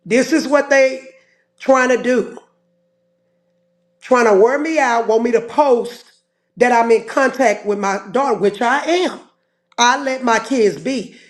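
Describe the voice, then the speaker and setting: A woman with a slightly hoarse voice, speaking as a guest on a livestream and defending herself in short, repeated, broken phrases. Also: fairly high pitch. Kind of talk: livestream call-in.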